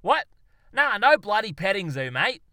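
Man putting on loud Australian blowhard bloke, higher pitched and punchy, crisp dry sound, in a comic audiobook. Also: expressive, fairly quick, no room sound. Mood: exasperated. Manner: loud, blustering, slangy. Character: brash boastful Australian self-styled zoologist, male.

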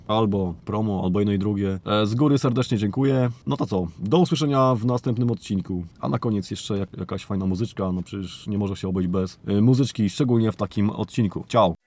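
A man talking casually on his own as a podcast host. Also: lowish voice. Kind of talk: casual solo podcast talk. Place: outdoors or in a car on a windy lot.

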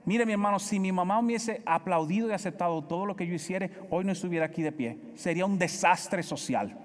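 Emphatic Dominican pastor preaching in a hall. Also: male voice, sits high, fast. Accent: Dominican Spanish. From Dominican Republic. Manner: preaching with emphatic repetition, swinging between loud and soft. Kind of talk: sermon.

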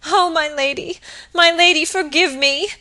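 Woman doing a terrified young maid, high bright voice, sobby breathless pleading, storybook melodrama. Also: fairly even intonation, measured pace, full character voice. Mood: sobbing, remorseful. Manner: sobbing, gasping, pleading outcries. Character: hysterical, terrified young servant woman pleading with her mistress.

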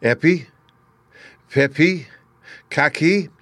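A man's voice: a little high, extremely slow, fairly bright tone, no echo, voice pushed up, full character voice. A man voicing an earnest young girl on a journey in a storybook reading. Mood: reciting carefully.

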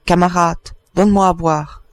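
A man's voice: high-pitched, fairly even intonation, normal speed, no room sound, natural voice. A man voicing a proud, scornful captured outlaw in a storybook, defiant and unafraid. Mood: proud ease. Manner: thundering, scornful.

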